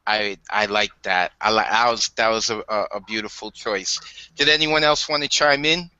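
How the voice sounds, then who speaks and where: A man hosting a casual online poetry chat, often halting and repeating words as he goes. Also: on the low side, sharp tone, clean tone, no echo. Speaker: host of poetry open mic. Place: indoors on an online group call. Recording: dull lo-fi online call audio.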